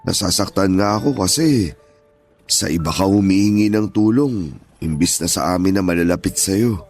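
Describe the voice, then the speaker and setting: A man playing an aging father in a radio drama, stern at first and then gentle and pleading with his grown son. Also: low-pitched, moderate pace, soft background music. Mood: tender. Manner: pleading, earnest, scolding turning gentle. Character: aging father, stern yet tender, grieving. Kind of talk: Tagalog radio drama acting.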